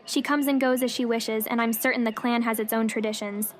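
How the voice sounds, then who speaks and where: A woman playing a quiet, modest young village keeper in a fantasy audio drama, polite and reserved. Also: a little high, fairly even intonation, brisk, lightly acted. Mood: politely guarded. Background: festival crowd ambience.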